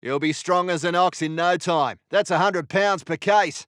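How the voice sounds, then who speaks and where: A man's voice: high-pitched, moderate pace, fairly bright tone, no room sound, clean studio sound. A man playing a seasoned, grumbling artillery gunner in a war game, matter-of-fact in manner. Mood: instructive. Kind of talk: video game unit voice lines.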